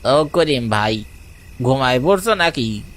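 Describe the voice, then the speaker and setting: A male voice of middle pitch, an actor's, for a village fisherman. He is the younger companion in a cartoon tale and talks in acted, conversational dialogue. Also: lively intonation, takes it slowly, fairly bright tone, a little hiss or hum. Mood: eager, urging. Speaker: supporting character.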